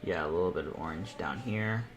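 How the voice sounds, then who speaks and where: A man's voice: low-pitched, brisk. A young man hosting a casual painting livestream, rambling and thinking aloud as he works. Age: teens to young adult. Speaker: livestream host and self-taught painter.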